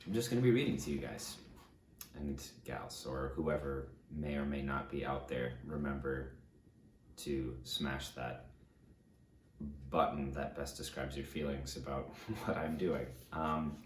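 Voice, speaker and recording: Roomy, echoing sound around a man with a very low-pitched voice. He presents casually, in a self-deprecating and slightly hesitant way, like someone chatting to his online viewers. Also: measured pace, slight background noise. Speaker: actor presenting a book reading video. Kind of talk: casual, rambling talk to camera.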